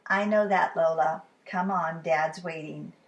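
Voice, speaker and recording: A woman's voice: deep voice, fairly even intonation, a little slow, voice pushed down. Woman reading as a patient, sensible big brother. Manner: gently reasoning, hushed at times.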